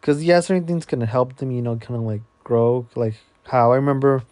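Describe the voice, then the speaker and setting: A man's voice: medium pitch, a little fast, mellow, dry recording. A man from Mexico hosting a podcast, chatting casually in a laid-back way full of fillers. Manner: laid-back, many fillers and repeated yeahs. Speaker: podcast host. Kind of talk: casual chat.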